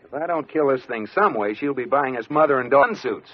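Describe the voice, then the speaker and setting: Actor as a harried, animated radio sitcom husband. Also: male voice, medium pitch, a little fast, natural voice. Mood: exasperated. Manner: wry, harried explaining. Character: exasperated husband, wry, patient then fed up. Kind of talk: 1940s-50s radio sitcom acting. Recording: muffled, very noisy old radio recording.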